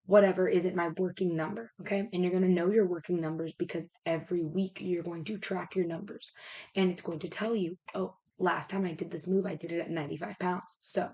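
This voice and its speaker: A woman with a raspy voice that is giving out, talking casually as a fitness coach and explaining step by step in an informal, rambling way. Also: lowish voice, fairly even intonation, fairly quick, a little mellow, poor audio. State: raspy, losing her voice.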